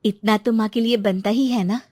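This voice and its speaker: A devoted young wife played by a woman in a cartoon moral tale, earnest and respectful. The voice is fairly high and recorded close and dry. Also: steady tone, normal speed, mellow, dry recording, lightly acted. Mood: grateful, earnest.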